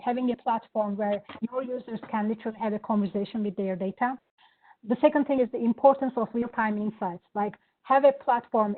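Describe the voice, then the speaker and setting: A woman with a Turkish accent giving an enthusiastic live software demo, with many fillers. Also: fairly high pitch. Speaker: presenter. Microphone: video call. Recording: phone-like online meeting audio.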